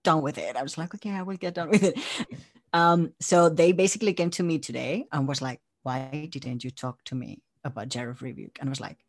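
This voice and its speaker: A man chatting casually as an interview guest, telling things in an animated way by quoting himself and others. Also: on the high side, fairly quick. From Spain. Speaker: interview guest, audio drama creator. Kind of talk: casual chat.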